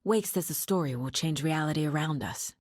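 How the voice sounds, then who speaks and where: A woman playing a serious, analytical investigator and mother in a video game, speaking in a measured, pensive, terse way with a husky voice. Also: low voice, a little mellow, no room sound, clean studio sound. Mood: focused, pensive. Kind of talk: dramatic game dialogue, introspective investigation lines.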